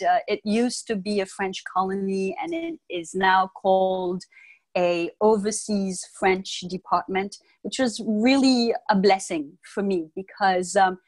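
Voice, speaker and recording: Calm middle-aged woman guest on video call. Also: medium pitch. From United States, raised in Martinique. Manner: calm, thoughtful, measured explaining. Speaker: guest, Ayurvedic practitioner. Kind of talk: interview answers. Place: home room with bookshelves. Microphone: earphone mic on video call.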